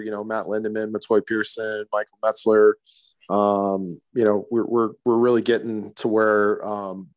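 A man in his thirties answering interview questions in a low-key, hesitant way with many fillers. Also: no room sound. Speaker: sportsbook manager.

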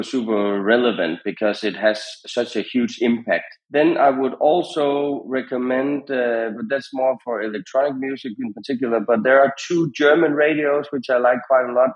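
A man giving conversational advice as a podcast guest, in halting phrases with frequent repetitions. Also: medium pitch, rough recording. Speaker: podcast guest, founder of a radio monitoring company.

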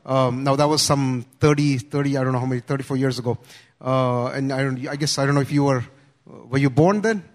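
A man's voice: mid-range voice, brisk, a little mellow. A man interviewing a guest, asking rambling, hesitant questions.

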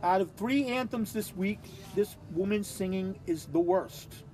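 Podcast co-host, New man, high pitch, profane and blunt, does voices when he retells stories. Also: a little slow, darkish tone, poor audio. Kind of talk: casual chat, reading scorecard notes aloud.

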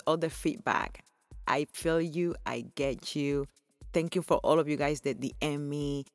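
A woman's voice: low-pitched, no room sound. A woman in her forties from Cuba, speaking, hosting her own podcast in an effusive, earnest way and laughing often. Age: 40s. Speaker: podcast host. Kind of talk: personal podcast monologue.

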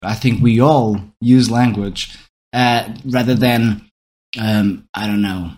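A man's voice: on the low side, steady tone, slow, a little mellow, poor audio. A man from Portugal, a native Portuguese speaker, talking in English about learning and teaching, thinking aloud with frequent restarts and rhetorical questions. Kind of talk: reflective talk on learning.

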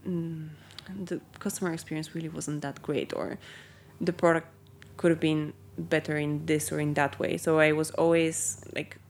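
Low-voiced young woman, chatty founder. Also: little pitch movement, slight background noise. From Bulgaria. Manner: chatty, many ums and likes, quick asides. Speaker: company founder. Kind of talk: casual interview.

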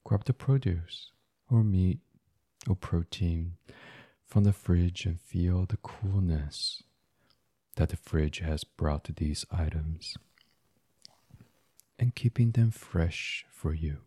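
A man with a low, very breathy and husky voice leads a sleep meditation. He speaks gently and very slowly in an ASMR style. The sound is dry and close. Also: no room sound. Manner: gentle, soothing, unhurried, breathy. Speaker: guided meditation host. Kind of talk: soft guided sleep meditation, ASMR reading.

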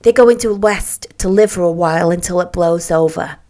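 A woman's voice: on the low side, moderate pace, mellow, close to an everyday voice. A woman voicing a gossipy, worldly young woman in a storybook, confiding and emphatic as she chats.

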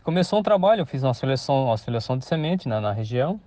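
A man's voice: medium pitch, big pitch swings, racing, mellow. A man, a farmer telling his story casually with hesitations and restarts. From Santa Catarina, Brazil. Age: 50s. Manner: hesitant, many restarts and tag questions. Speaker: farmer interviewee. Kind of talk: casual spoken account. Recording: dull lo-fi radio interview sound.

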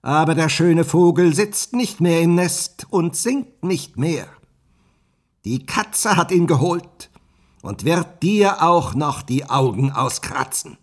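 A man voicing a wicked old witch in a fairy tale, shrill and scolding. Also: animated, slow pace. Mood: mocking.

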